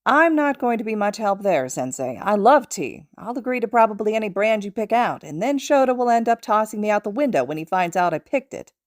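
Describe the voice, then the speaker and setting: A woman's voice: medium pitch, sing-song, a little fast, hardly any pauses, no room sound, studio quality, voice pushed up, lightly acted. A woman voicing an easygoing, good-humoured man in a story, talking in a casual, teasing, resigned way. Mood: resigned, joking. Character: easygoing blond adult man, good-humoured former student.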